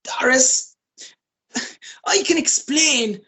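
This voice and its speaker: A woman's voice: high-pitched, extremely slow, sharp tone, exaggerated voice. A woman playing a hapless, flustered man who pleads and protests in a radio play. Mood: flustered, explaining.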